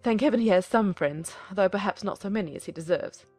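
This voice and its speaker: A woman's voice: medium pitch, fairly quick, darkish tone, slightly rough, no echo, soft background music, lightly acted. A woman voicing a fussy, self-important middle-aged mother in a period novel audiobook, effusive and exclaiming as she chatters on. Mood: proud, complaining.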